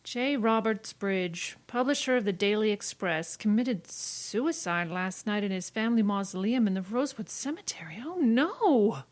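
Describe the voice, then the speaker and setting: A woman's voice: medium pitch, expressive, normal speed, few pauses, close to an everyday voice. A woman playing a prim, anxious newspaper secretary in an old-time radio drama. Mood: anxious, shocked.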